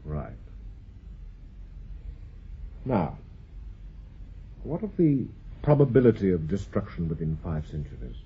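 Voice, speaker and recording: A low, very husky British professor, speaks with donnish, measured assurance. It is radio drama acting, exacting and unflappable, on a dull recording. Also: male voice, big pitch swings, heavily acted. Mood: calm authority.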